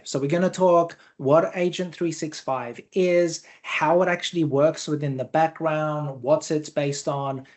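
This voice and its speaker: A man's voice: on the high side, darkish tone. A man with a mixed Australian and Canadian accent, hosting a live webinar in a chatty, upbeat way with frequent fillers. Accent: Australian-Canadian mix. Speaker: webinar host, company founder.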